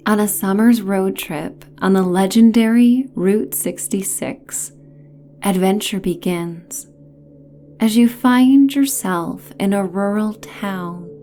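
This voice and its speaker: Woman, sleep story narrator, very slow pace, soft mellow tone, soothing, breathy phrase endings, music underneath. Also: mid-range voice. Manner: soothing, gentle, phrases trailing into breathy near-whispers. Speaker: narrator and host of sleep stories.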